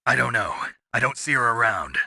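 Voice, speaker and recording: A man playing a gruff, sardonic, tough covert-ops soldier in a video game, with a gravelly voice and terse, wry delivery. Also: mid-range voice, animated, fairly quick, bright, forceful tone, no echo, full character voice. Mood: grim, determined. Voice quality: gravelly, raspy.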